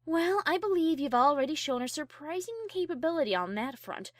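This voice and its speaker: High-class female pony, acted by a woman: prim, formal, high and expressive, for a fan audio drama. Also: a little fast, dry recording, studio quality. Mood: gently formal. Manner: formal, refined, prissy.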